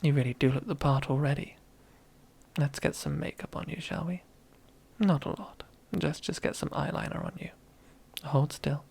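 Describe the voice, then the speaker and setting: A woman's voice: low voice, creaky, a little mellow, breathy edge, heavily acted. A woman playing a domineering, teasing female boss in an ASMR roleplay, half-whispering in a seductive, commanding way. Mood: smug, teasing. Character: domineering, teasing female boss relishing power over old rival.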